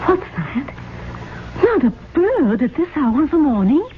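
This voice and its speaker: A woman's voice: high-pitched, big pitch swings, a little slow, narrow, tinny audio. An actress playing a tender, fretful middle-aged mother in a 1970s radio drama, exclaiming in an emotional, dramatic way. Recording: thin, hissy 1970s radio recording.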